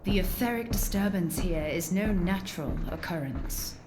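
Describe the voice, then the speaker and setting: A woman voicing an earnest, shrewd scholar-adventurer in a fantasy video game, with formal, deliberate, musing speech. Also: fairly low pitch, noisy background, lightly acted. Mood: musing, resolute.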